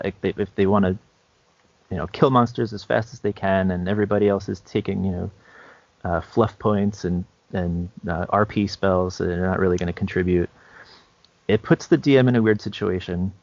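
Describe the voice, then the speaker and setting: Gravelly, low-voiced man, hesitant and easygoing. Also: a little mellow, no echo, muffled recording. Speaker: podcast co-host. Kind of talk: casual chat, explaining.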